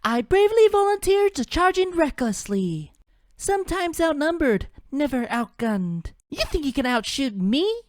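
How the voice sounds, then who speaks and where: A man voicing a small, excitable, reckless creature gunner from a video game. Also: very high voice, a little slow, no room sound, clean studio sound, pitched above the performer's own voice, exaggerated voice. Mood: plucky, excited. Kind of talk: video game character impressions.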